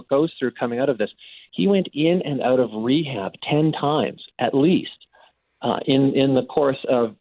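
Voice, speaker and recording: A Canadian man answering as a radio interview guest, speaking earnestly and haltingly, often repeating his words. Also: mid-range voice, no room sound, narrow, tinny audio. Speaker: interview guest, abuse survivor and advocate.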